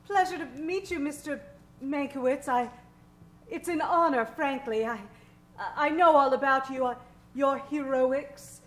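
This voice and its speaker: Gushing, high-voiced diner waitress. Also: female voice, measured pace. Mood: flustered admiration. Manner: gushing, hesitant, earnest. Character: eager, flustered, kind small-town diner waitress. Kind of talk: old-time radio comedy acting.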